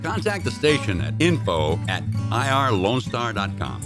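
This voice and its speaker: A man's voice: on the low side, big pitch swings, normal speed. A man reading a scripted radio station promo as an announcer. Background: guitar music.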